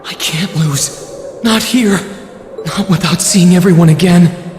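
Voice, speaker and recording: A man's voice: high voice, slow. A man voicing an earnest, battle-weary but determined young hero in a video game, sounding strained and emotional. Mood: desperate, determined. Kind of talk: dramatic video game voice acting. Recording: echoey reverberant sound with noisy background.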